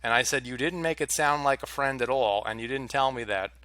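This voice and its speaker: A young man vents to the camera about something that went wrong, sounding exasperated and swearing freely. His voice is bright and pushes forward. The sound is dry, with no room echo. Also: mid-range voice, a little fast, clear, resonant tone. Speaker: vlogger. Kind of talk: casual storytelling rant to camera.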